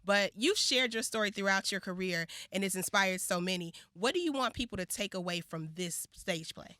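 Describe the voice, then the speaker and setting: A woman with an American accent and a very bright, forward voice, interviewing on the radio in a close, dry sound. She is effusive and playful, chatting in casual slang like she is among friends. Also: medium pitch, normal speed, no echo. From Chicago area, United States.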